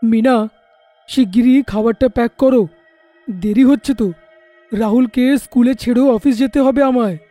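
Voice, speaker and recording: A brisk, impatient family man, as a man plays him, talks in a very high but mellow voice. The recording is close and dry, made for a cartoon tale. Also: no echo, faint music behind. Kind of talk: cartoon story voice acting.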